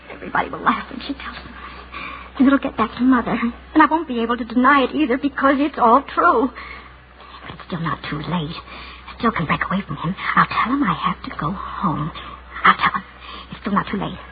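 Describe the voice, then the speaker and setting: Actress doing worried young american woman's inner thoughts, highish voice, tinny noisy old radio drama. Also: natural voice. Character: fretful, ashamed young woman thinking aloud.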